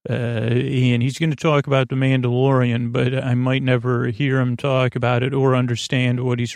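A man with a creaky voice hosting a podcast, rambling in a halting, gently goofy bedtime monologue meant to help people drift off to sleep. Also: medium pitch, no echo, studio quality. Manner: meandering, halting, often trailing off and repeating words. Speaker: podcast host.

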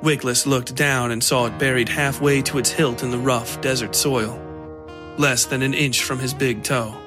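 A man reading scripted dark fiction as the narrator of an audio story. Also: mid-range voice, steady tone, normal speed. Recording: music under the voice.

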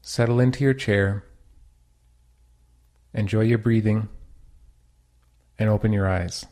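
A man leading a guided meditation as a teacher, with a measured, soothing, instructional delivery. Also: lowish voice, steady tone, warm tone.

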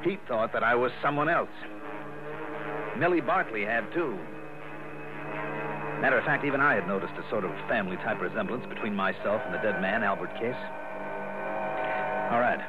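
A man's voice: on the low side, moderate pace, pauses often, over loud music, lightly acted. Man as a tinny-sounding hardboiled radio investigator. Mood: wry narration. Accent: American. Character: cool, crisp insurance investigator, hardboiled and unflappable. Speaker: lead and narrator. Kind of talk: old-time radio detective drama. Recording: tinny, noisy vintage radio recording.

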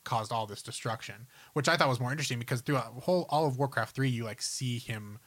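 A man hosts a casual podcast about films and games. His voice sits at a medium pitch. He is informal and opinionated, happy to explain a point at length. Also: quick pace, fairly bright tone, no room sound. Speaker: podcast host.

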